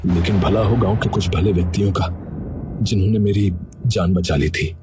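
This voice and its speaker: An earnest undercover detective from a village cartoon tale, voiced by a man. His voice sits low and he talks like someone explaining himself. Music plays clearly behind him. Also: steady tone, normal speed, lightly acted. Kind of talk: Hindi cartoon story dialogue.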